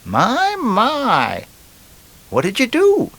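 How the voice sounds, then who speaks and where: A prim, nosy lady, put on by a man with a very high voice, telling-a-joke style. Her words are stretched out and comically exaggerated. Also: huge pitch swings, a bit bright, exaggerated voice. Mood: shocked, curious. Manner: drawn-out, exaggerated delivery.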